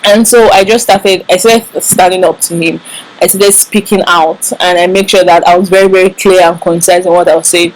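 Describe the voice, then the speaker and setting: A woman's voice: on the low side, steady tone, normal speed, rough recording. A woman from Nigeria with a Nigerian English accent, giving relationship advice to camera in an earnest, emphatic way. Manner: earnest, emphatic, repeats words for stress. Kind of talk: casual advice talk to camera.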